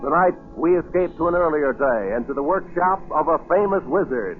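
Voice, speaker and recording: A man's voice: on the high side, animated, a little fast. A man announcing a radio show in a theatrical, portentous 1940s style, on a vintage broadcast recording. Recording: muffled 1940s radio recording with loud music. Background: loud dramatic music.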